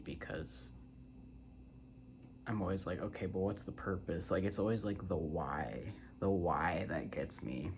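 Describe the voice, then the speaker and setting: A man in his twenties rambling casually in a soft-spoken ASMR style, drifting between a breathy murmur and a half-whisper. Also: low-pitched, background noise. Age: 20s. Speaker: ASMR creator.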